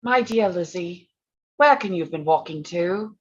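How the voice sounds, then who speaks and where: A gentle, caring Regency sister acted by a woman, with a husky voice and very animated intonation. She speaks tender, earnest period-drama lines, and the sound is a little dull. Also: on the low side, normal speed. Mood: disbelieving. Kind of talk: staged reading of a period drama.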